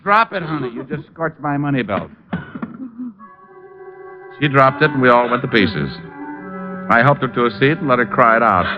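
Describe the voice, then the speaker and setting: A flippant, unflappable private eye played by a male actor narrates and trades sardonic lines. His voice is lowish and very animated. It comes from a dull old radio recording with music behind it. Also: moderate pace, pauses often, close to an everyday voice. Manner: breezy, sardonic, dry wit. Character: flippant wisecracking male private detective, self-assured and unflappable. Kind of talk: old-time radio detective drama.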